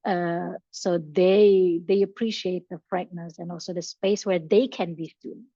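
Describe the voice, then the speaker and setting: A young woman from Indonesia talks casually as a podcast guest, with a low-set voice. She works through her answers with fillers and restarts. Her video call audio is dull and lo-fi, and very dry. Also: steady tone, a little slow, warm tone, no echo. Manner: thoughtful, with frequent fillers and restarts. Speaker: podcast guest, learning and development professional. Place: bright room with large windows. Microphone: headphones on a video call.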